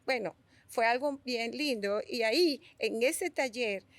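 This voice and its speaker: A middle-aged Venezuelan woman with a Venezuelan accent, a sculptor telling stories in an interview, animated and restarting her words often. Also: a little high, unhurried, no echo. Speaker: guest sculptor. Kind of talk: conversational storytelling.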